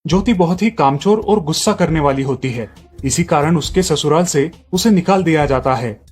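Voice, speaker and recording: A man's voice: fairly high pitch, normal speed, darkish tone, breathy edge. A man reading scripted narration for a moral family story.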